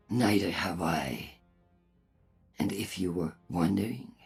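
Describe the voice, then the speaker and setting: A woman playing a stern robot faction leader in a game, speaking formally and deliberately in a husky, gravelly voice. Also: a bass voice, heavily acted. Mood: foreboding. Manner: deliberate, formal, coolly persuasive. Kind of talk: dramatic game monologue.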